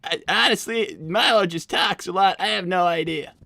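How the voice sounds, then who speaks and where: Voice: medium pitch, gravelly, bright, forceful tone, no echo. Someone voicing a flustered, stammering fox boy. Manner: stammering, halting, with many restarts. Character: flustered, awkward young male fox boy. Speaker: character voice actor on a live stream. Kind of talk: improvised live character roleplay.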